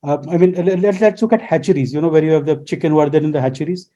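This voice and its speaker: A man talks through his subject as a radio guest. His voice sits high and sounds deeply mellow. He teaches as he goes and keeps posing rhetorical questions. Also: very fast, highs cut off. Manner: lecturing, poses rhetorical questions. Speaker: guest expert. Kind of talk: explanatory radio interview answers.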